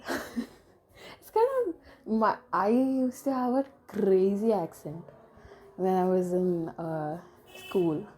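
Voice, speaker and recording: A woman's voice: medium pitch, dark tone, slight background noise. A young woman with an Indian accent hosting a solo podcast, rambling casually at home and stringing thoughts together with lots of filler phrases. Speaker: podcast host.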